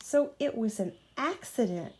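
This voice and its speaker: A woman's voice: on the high side, expressive, unhurried, warm tone. A woman voicing a cheerful, helpful male tank engine in a children's storybook, earnest and encouraging. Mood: relieved, earnest.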